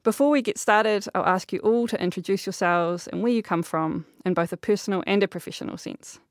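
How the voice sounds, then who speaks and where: A woman, moderating a panel discussion in a deliberate, articulate way. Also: mid-range voice, no room sound, studio quality.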